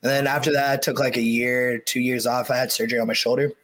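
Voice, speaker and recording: A man talks as a guest in a casual chat. He tells his story loosely, with fillers and restarts. His voice has an ordinary middle pitch. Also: flat delivery, fast talker, a bit bright. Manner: easygoing storytelling with many fillers.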